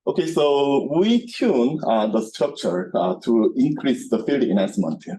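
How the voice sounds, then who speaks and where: A man giving an academic lecture as a researcher at a seminar, speaking haltingly and repetitively with non-native English phrasing. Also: medium pitch, sing-song, poor audio. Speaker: researcher presenting at a scientific seminar. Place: seminar room with projection screen. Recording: bright room recording with background noise.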